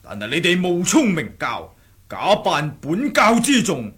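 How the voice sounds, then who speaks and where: An actor playing a brash, mocking kung fu fighter in a Cantonese radio drama, husky and theatrical. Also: male voice, high-pitched, wildly swooping pitch, measured pace, a bit bright, heavily acted. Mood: indignant. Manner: theatrical, taunting, with cackling flourishes. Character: brash mocking martial-arts fighter of the Ming sect.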